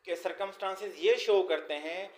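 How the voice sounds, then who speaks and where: A man's voice: high voice, moderate pace. A man with a Pakistani accent giving an exam lesson as a law lecturer, reading items out and then explaining them by repeating and rephrasing. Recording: echoey, roomy sound.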